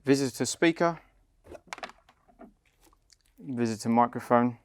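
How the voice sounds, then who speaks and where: A man's voice: medium pitch, takes it slowly, a little hiss or hum. A man giving a scripted instructional product narration, explaining plainly step by step. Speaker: company head of client development, instructor.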